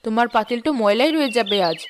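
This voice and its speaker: A woman playing a sharp-tongued village housewife in a cartoon fairy tale, teasing and sarcastic. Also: a little high, quick pace, a little hiss or hum, lightly acted. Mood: mocking. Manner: sarcastic, taunting. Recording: music and birdsong behind the voice.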